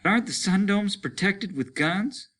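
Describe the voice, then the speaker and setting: A man's voice: sits high, lively intonation, moderate pace, a bit bright, pitched above the performer's own voice. A man voicing a terse, weary male lieutenant in a science fiction story, with a clipped, matter-of-fact delivery. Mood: stern.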